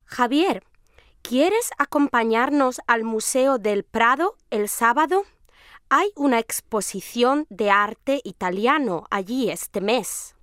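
A woman's voice: fairly high pitch, animated, slow pace, a bit bright, no echo, studio quality. A woman playing a friendly young woman in a scripted language-lesson dialogue, speaking carefully. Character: friendly young woman from Madrid, aged nineteen.

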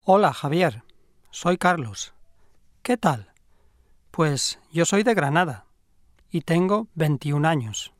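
A man's voice: fairly high pitch, lively intonation, slow, long pauses, dry recording, clean studio sound. A man playing a friendly young man in a scripted language-lesson dialogue, speaking carefully. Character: friendly young man from Granada, aged twenty-one.

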